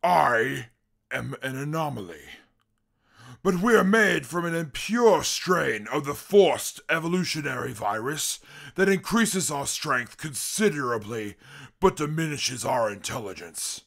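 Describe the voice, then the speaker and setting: Super mutant for radio drama: haughty, formal, brutal, husky voice with wildly varied pitch. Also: male voice, lively intonation, unhurried, fairly bright tone, full character voice. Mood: proud. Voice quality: gravelly, husky. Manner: pompous, formal, wordy, prone to violent outbursts. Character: eloquent, pompous, brutal super mutant with inflated intellect. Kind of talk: post-apocalyptic radio drama acting.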